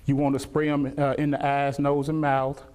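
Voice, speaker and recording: A man speaks as a firearms and self-defense instructor. His voice sits at a middle pitch as he gives practical instructions and explanations. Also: completely flat delivery, moderate pace, noisy background. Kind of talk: instruction and interview remarks.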